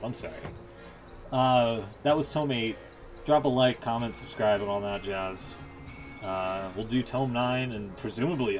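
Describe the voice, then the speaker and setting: Low-voiced man, sweary casual streamer. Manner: opinionated, sweary, rambling. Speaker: game streamer and host. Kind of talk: casual livestream commentary.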